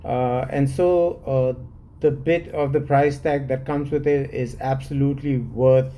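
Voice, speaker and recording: Man, mellow low-key voice, unhurried, hesitant, many fillers, solo review. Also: fairly even intonation. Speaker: fragrance reviewer.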